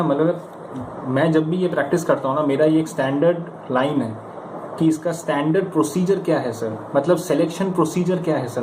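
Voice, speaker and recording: An Indian man giving an informal motivational lesson as a coach, in a conversational, emphatic and coaxing manner. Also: fairly high pitch. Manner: conversational, emphatic, coaxing, with rhetorical questions and acted-out examples. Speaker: stammering coach giving practice advice. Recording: echoey room with clear background noise.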